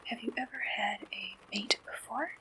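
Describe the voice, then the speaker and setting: A woman performing an ASMR roleplay, gently and deliberately asking questions like an interviewer. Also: on the high side, slight background noise, low-quality recording.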